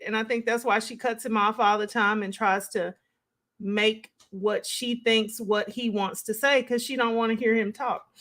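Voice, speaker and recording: A woman's voice: fairly high pitch, a bit bright. A woman hosting a live stream, giving casual, conversational reaction commentary as she thinks aloud and responds to chat.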